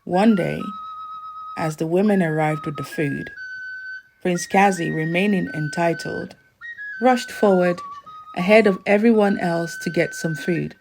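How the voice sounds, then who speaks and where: A woman reading a scripted folktale as a storyteller, in a measured storybook delivery. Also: fairly low pitch, vocal fry, music in the background.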